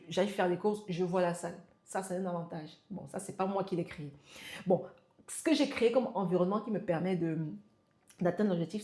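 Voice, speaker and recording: A woman's voice: lowish voice, warm tone. Insistent woman in her forties, echoey room. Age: 40s. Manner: insistent, repeating for emphasis, addresses viewer as tu. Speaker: motivational coach. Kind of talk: self-help talk to camera.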